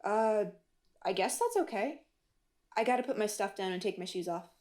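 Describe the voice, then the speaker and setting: A woman's voice: mid-range voice, animated, normal speed, darkish tone, lightly acted. A woman playing a hesitant, guarded member of a self-improvement group in a naturalistic audio drama. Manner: hesitant, apologetic.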